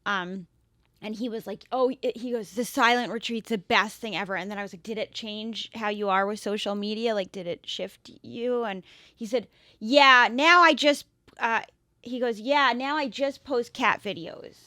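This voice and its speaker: A young woman guest on a podcast, telling stories in an animated way and acting out what other people said. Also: on the high side, normal speed, dry recording. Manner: animated storyteller who acts out quotes. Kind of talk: casual chat.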